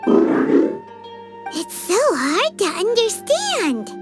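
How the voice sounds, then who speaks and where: A woman voicing a small, cheerful, childlike fairy companion in a video game, squeaky and eager. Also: huge pitch swings, drawn-out words, exaggerated voice. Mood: puzzled. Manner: eager, exclamatory. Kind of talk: English video game voice acting. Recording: loud music behind the voice.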